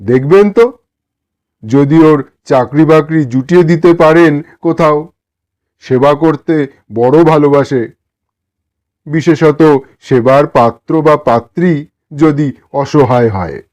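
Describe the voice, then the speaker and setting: Man playing a slow-spoken kindly lawyer. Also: on the high side, darkish tone. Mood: sympathetic. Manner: sympathetic, measured. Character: kindly male lawyer, sympathetic householder. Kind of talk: dramatised storybook dialogue.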